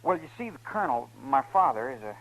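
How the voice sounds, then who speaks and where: Earnest, lively teenage cowhand. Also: male voice, medium pitch, fast, rough recording. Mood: worried. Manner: hesitant, respectful, earnest. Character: earnest, anxious, polite eighteen-year-old cattleman's son. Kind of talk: 1950s radio western acting. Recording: old radio drama recording.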